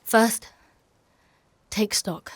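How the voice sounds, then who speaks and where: A woman playing a fierce, devout warrior knight in a dark fantasy audio drama, narrating with solemn, deliberate intensity. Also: dry recording. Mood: weary, subdued. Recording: voice over loud dramatic music.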